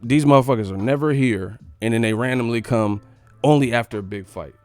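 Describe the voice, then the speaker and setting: A man's voice: medium pitch, brisk, a little mellow, dry recording, soft background music. An American man hosting a live boxing stream, giving casual, opinionated analysis in a blunt, slangy way with some swearing.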